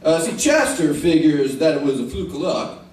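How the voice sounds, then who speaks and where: An actor playing a stubborn but decent Old West marshal in an old-time radio western, talking haltingly with much hemming and hawing. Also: male voice, on the high side, very animated, darkish tone, slight background noise. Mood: awkward, stalling. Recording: roomy, distant old radio recording, music.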